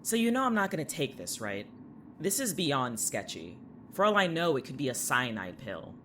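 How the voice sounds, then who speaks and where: Audio drama lead, played by a woman: low, animated, exasperated young patient with a blunt, snarky, defensive edge. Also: moderate pace, slight background noise, full character voice. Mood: exasperated, dismissive.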